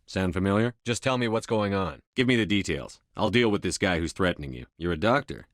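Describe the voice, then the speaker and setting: A man playing a tough, resolute military space commander in a video game, speaking in a terse, commanding, measured way. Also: low-pitched, expressive, dry recording, studio quality. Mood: businesslike, calm. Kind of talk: scripted video game dialogue.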